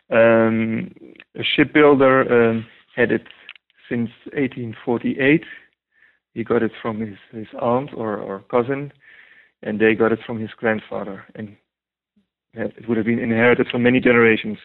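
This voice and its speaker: A man's voice: medium pitch, steady tone, normal speed, pauses often. A man from the Netherlands with a Dutch accent, answering interview questions hesitantly with many ums and restarts. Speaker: guest translator. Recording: tinny phone-like line.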